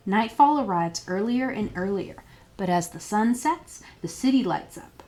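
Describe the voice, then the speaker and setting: A woman's voice: mid-range voice, expressive, measured pace, darkish tone, slight background noise. A woman reading a children's picture book aloud as a storytime librarian, expressively and with questions put to young listeners.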